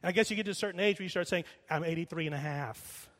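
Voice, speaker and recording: A middle-aged man preaching a sermon, emphatic, slowing and repeating lines for weight and dropping quiet while telling a story. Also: a little high. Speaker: pastor. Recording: long reverb of a large hall.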